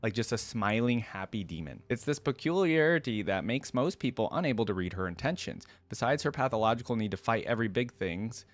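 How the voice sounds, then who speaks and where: A man's voice: mid-range voice, lively intonation, fairly quick, few pauses, no room sound. A man hosting a reaction video, reading a story aloud and adding casual commentary.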